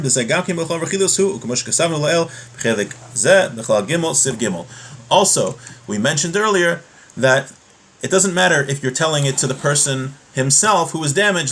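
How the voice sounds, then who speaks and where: A man's voice: fairly high pitch, a bit bright. A man giving an explanatory class on religious law, reading from a text and restating each point in a didactic way. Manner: didactic, repeats and rephrases points with examples. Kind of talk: explanatory text study, reading passages aloud then explaining.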